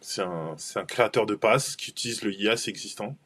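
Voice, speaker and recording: A man talks shop in a loose, chatty webinar style, piling up fillers and restarting sentences. His voice sits on the low side. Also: brisk, fairly bright tone. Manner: rambling, informal, many fillers and restarts. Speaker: cloud benchmark analyst presenting a webinar. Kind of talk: casual webinar talk.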